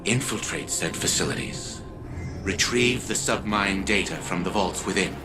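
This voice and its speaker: An actor playing an arrogant old scientist turned artificial mind in a video game, formal and condescending. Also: male voice, low-pitched, normal speed, lightly acted. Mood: grave, imperious. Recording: loud music under the voice.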